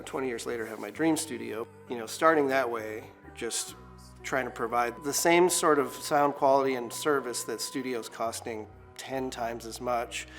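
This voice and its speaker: A man in his forties, a recording studio owner, talking in a relaxed, rambling way with many fillers in a documentary interview. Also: mid-range voice. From United States.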